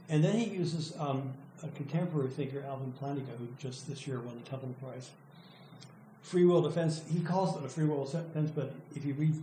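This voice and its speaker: Hoarse older man lecturing, mellow voice. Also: medium pitch. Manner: thoughtful, halting, with frequent restarts and fillers. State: losing his voice, clearing throat. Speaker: professor presenting a student's work. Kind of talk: academic lecture. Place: lecture room. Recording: echoey room with faint noise.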